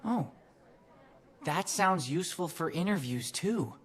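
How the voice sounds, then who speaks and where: Woman, student, slow, lowish voice, careful, earnest. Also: lively intonation, breathy edge. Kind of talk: scripted classroom dialogue.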